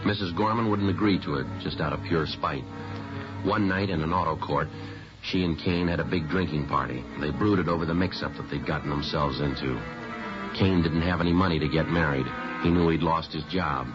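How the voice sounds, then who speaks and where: An actor playing a stern, matter-of-fact police detective in a vintage radio crime drama, clipped, deadpan and persistent. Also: male voice, rumbling low, normal speed. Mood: flat, matter-of-fact. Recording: dull vintage radio recording with music.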